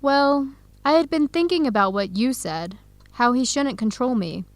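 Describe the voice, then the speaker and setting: A woman playing an earnest young Ethiopian-woman in an educational radio drama, speaking clearly and deliberately. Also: fairly high pitch, expressive, dry recording, natural voice. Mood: frustrated, explaining.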